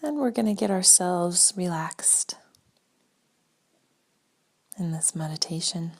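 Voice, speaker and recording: A woman leading a guided meditation in a gentle, spaced-out way, her soft breathy voice often trailing toward a whisper. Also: on the low side, lively intonation. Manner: slow, gentle, spaced-out phrasing with long pauses.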